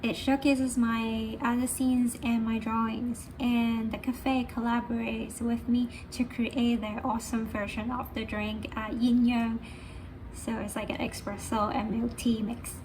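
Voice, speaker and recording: A young woman from Hong Kong speaks casually about her art in a slightly high voice, her Hong Kong accent plain. She hesitates often and slides between Cantonese and English. The recording carries a clear hiss of noise. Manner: switches between Cantonese and English, many fillers. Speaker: artist and art teacher. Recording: noisy background.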